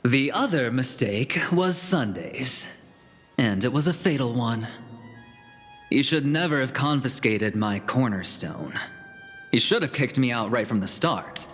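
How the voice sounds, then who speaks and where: A self-assured, theatrical gambler in a video game. His voice sits at a middle pitch and taunts with smug showmanship. Long reverb surrounds it, with faint music underneath. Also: lively intonation, a little slow, fairly bright tone.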